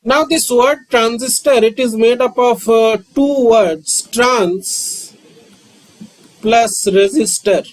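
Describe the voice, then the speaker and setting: A man, lecturing on physics and repeating key terms with frequent pauses. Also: very high-pitched, low-quality recording. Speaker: physics teacher. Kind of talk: slow, repetitive classroom lecture.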